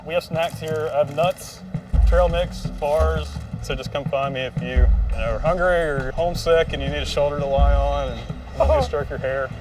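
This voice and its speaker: A man's voice: on the high side, normal speed, background noise. Joking man handing out supplies. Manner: joking, playful. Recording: distant mic, loud music.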